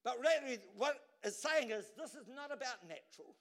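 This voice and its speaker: A male pastor preaching a sermon to a church, animated, swinging between loud bursts and low asides and repeating words as he pushes a point. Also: moderate pace.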